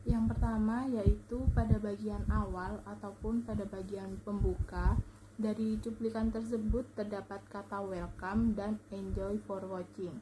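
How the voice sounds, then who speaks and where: A woman's voice: medium pitch, level tone, unhurried, background noise. A young woman gives a formal, careful scripted presentation as a student. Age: young adult.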